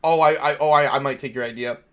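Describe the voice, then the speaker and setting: A man's voice: mid-range voice, fairly even intonation, fast talker. A man running a tabletop role-playing session as game master, talking casually and repeating phrases as he thinks aloud. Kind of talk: casual unscripted game narration and chat.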